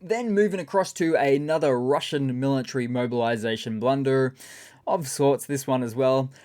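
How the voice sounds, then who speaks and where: A man with a raspy voice hosting a casual, sarcastic news commentary. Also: medium pitch, moderate pace, a little mellow. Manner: sarcastic, chatty. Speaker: channel host.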